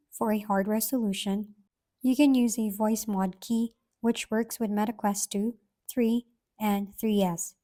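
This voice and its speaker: A woman presents a scripted how-to guide as a tutorial host. Her voice sits at a middle pitch for a woman, and she takes the listener through instructions step by step. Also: dark tone.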